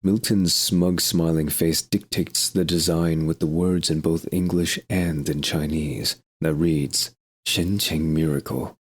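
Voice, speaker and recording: A very deep-voiced man narrates a scripted drama. His tone is husky and rough as he sets out each scene. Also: fairly even intonation, slow pace.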